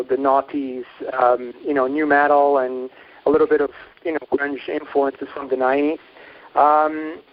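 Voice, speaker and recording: A man's voice: on the high side, highs cut off. A young man from Portugal, a band's guitarist and singer, talking loosely with lots of fillers in a phone interview. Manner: rambling, hesitant, many fillers.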